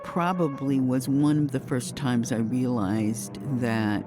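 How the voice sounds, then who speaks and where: A woman's voice: very deep voice, fairly even intonation, slow. A woman from the United States reflecting in a documentary interview, thoughtful and emphatic. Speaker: interviewee, radio drama producer. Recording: dry, faint music behind.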